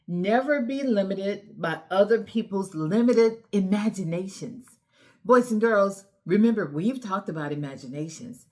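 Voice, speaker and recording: A woman hosting a children's storytime lesson, encouraging and instructive, speaking directly to young children with emphatic, deliberate enunciation. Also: medium pitch. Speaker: children's read-aloud host and teacher.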